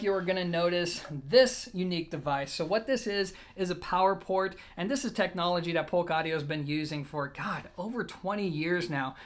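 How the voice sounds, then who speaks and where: Informal gear reviewer speaking straight to viewers. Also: mid-range voice. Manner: informal, addresses viewers directly, emphatic openers to points. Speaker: host, product reviewer. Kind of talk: semi-scripted audio gear video review.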